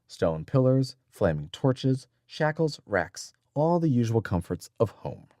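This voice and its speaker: A man reading scripted adventure fiction as an audiobook narrator, in a dramatic, measured storytelling manner. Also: medium pitch, sing-song, mellow, no echo, clean studio sound.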